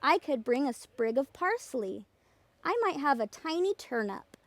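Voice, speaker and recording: A woman's voice: high voice, big pitch swings, measured pace, no room sound. A woman voicing curious, hesitant village folk in a children's storybook, in exclaiming dialogue. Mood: curious, offering. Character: assorted villagers, curious, reluctant, then eagerly offering food.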